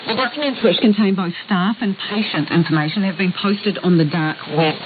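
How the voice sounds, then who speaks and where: A woman's voice: medium pitch, fairly quick. A woman with a New Zealand accent presenting scripted radio news as the programme host. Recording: narrowband shortwave radio, faint hiss.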